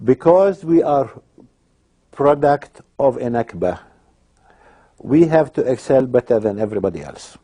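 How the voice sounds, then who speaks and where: A man from Palestine giving personal testimony in a documentary interview, hesitant at times and then forceful. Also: mid-range voice, expressive, unhurried, pauses often.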